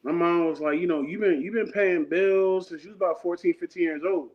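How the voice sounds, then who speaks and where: Laid-back man in his thirties, high voice. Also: fairly quick. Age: 30s. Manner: laid-back, rambling, slangy. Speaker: guest artist. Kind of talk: casual interview talk. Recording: dull lo-fi sound.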